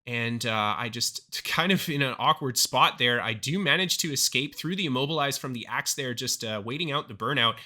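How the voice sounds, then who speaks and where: A young man with a voice a little hoarse from illness, talking casually over his gameplay footage as a gaming YouTuber. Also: medium pitch, normal speed, hardly any pauses. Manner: upbeat, excited over big plays.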